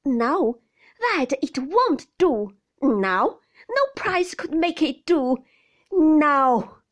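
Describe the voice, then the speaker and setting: A woman's voice: high-pitched, big pitch swings, extremely slow, heavily acted. A woman voicing a stubborn, loyal middle-aged female servant who talks stiffly and bluntly. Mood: emphatic.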